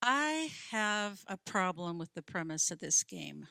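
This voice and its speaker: A woman's voice: on the low side, sing-song, measured pace, vocal fry, bright, forceful tone, dry recording, exaggerated voice. An actress in a radio play playing a skeptical, stubborn, gloomy woman who questions and argues. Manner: querying, argumentative.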